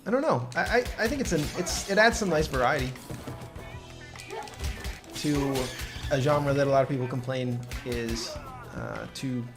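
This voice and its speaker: A man's voice: medium pitch, lively intonation, moderate pace, long pauses. A man giving casual, explanatory commentary on a co-op video game, feeling his way through his sentences. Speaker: gaming video host. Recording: game music behind the voice. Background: game music.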